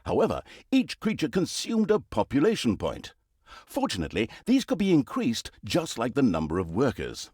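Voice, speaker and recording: A man's voice: medium pitch, darkish tone, slightly rough, no echo, clean studio sound. A man playing a sardonic, mock-grand storybook narrator in a video game, telling of an evil overlord's deeds with theatrical flair. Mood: wry, mock-grand.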